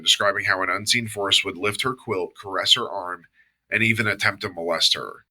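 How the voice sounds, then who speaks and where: Bright-voiced Southern US man reading aloud. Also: on the low side, low-quality recording. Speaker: podcast co-host reading the story. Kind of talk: reading a story aloud with casual asides.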